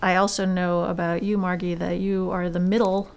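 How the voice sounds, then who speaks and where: Gravelly-voiced woman interviewer. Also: fairly low pitch, level tone, moderate pace, a little mellow. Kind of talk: interview questions.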